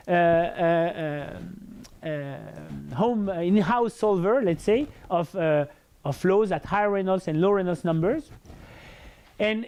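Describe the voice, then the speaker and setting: A man's voice: sits high, dark tone. A man with a French accent and a big, full voice gives an academic lecture. He speaks hesitantly, with many fillers and restarts. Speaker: researcher giving a lecture.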